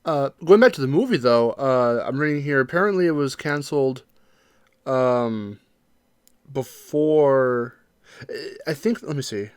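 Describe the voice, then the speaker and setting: A man co-hosting a casual podcast discussion, talking in a halting, reflective way and hedging his opinions. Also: medium pitch, clear, resonant tone, dry recording, studio quality. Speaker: podcast co-host.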